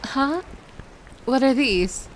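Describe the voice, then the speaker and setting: A woman's voice: sits high, expressive, extremely slow, slight background noise, exaggerated voice. A woman playing a proud, easily flustered and annoyed young mermaid princess in a roleplay audio drama, pouty and prone to stammering. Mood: reluctant.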